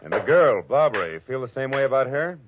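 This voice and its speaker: An actor playing a wry, hard-boiled detective with an American accent and a dry, laconic delivery in the style of 1940s radio. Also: male voice, mid-range voice, sing-song, fast, background noise, lightly acted. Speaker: lead actor and narrator. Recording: dull old radio recording with music.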